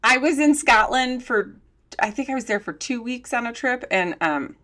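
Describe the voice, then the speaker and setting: A woman's voice: a little high, expressive, normal speed, sharp tone. A woman co-hosting a podcast, chatting casually and telling stories with plenty of filler words and laughter. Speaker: podcast co-host.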